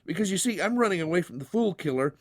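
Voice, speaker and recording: A man's voice: high-pitched, animated, fast talker, no room sound, studio quality, voice pushed up. A man voicing an earnest, naive runaway boy in a storybook, polite and plainspoken. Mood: apologetic, earnest. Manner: deferential, plainspoken.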